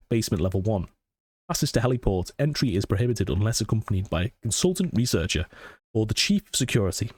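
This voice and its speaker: A man's voice: fairly low pitch, a little mellow. Sniffly man with a cold. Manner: chatty, thinks aloud, reads text slowly. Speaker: let's play gamer. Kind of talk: casual gameplay commentary, reading in-game notes aloud. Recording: faint game music behind.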